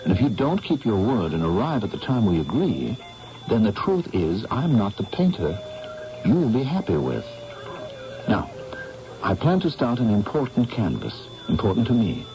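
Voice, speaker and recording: An actor with an English accent voicing a serious, earnest painter who speaks firmly and formally, in a 1970s radio drama. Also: male voice, on the low side, big pitch swings, normal speed, music in the background. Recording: dull old radio broadcast with faint hiss.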